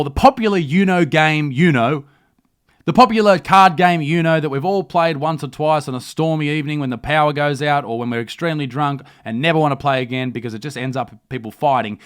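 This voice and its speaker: Blunt, sweary Australian man. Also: a little high. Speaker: comedy news commentary host. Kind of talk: comedic rant to camera, mock news delivery.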